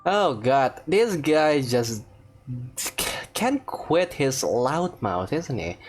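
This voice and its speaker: Female gaming host: casual, wry, very low register, lively intonation. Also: takes it slowly. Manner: animated, wry. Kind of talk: casual gameplay commentary with dubbed-along dialogue.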